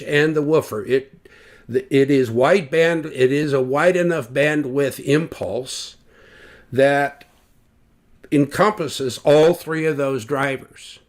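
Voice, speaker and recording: An older man slowly explaining loudspeaker measurements, talking deliberately with fillers and restarts, recorded at home on a webcam. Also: fairly high pitch. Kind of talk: technical explanation with drawings. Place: home living room, indoors.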